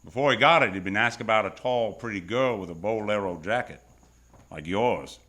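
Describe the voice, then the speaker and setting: A man's voice: lowish voice, normal speed, bright, forceful tone. A man acting a wry, hardboiled private detective who narrates his case in old-time radio noir style on stage. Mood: probing, wry. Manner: wisecracking, tough, world-weary slang. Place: stage of live radio theatre.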